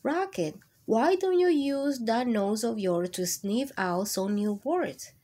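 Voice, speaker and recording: A woman's voice: on the high side, animated, takes it slowly, dark tone. A woman voicing a kindly little female bird who teaches in a children's storybook, speaking in an encouraging, instructive way. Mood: encouraging, delighted.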